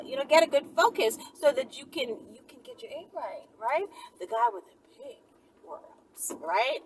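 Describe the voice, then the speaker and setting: A woman vlogger answering viewer questions in a rambling, animated monologue, often checking in with "right?" and "okay?". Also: high voice, distant mic, background noise. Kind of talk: casual Q&A monologue.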